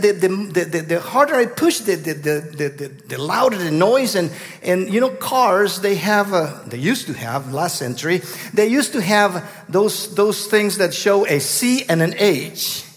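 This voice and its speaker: A middle-aged man from Guatemala with a Guatemalan Spanish accent, telling a story as a guest preacher, halting and full of restarts. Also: high-pitched, expressive, in a large hall. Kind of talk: storytelling sermon.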